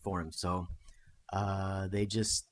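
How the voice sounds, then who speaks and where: A man's voice: deep voice, flat delivery, takes it slowly, slight background noise. An American man co-hosting a podcast, chatting casually about history and rambling with fillers and restarts. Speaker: podcast co-host.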